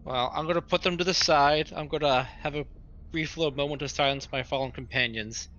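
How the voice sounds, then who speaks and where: A man's voice: fairly high pitch, a little fast, fairly bright tone. A man playing a tabletop roleplaying game, casually explaining what his character does. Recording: dull lo-fi sound, faint noise.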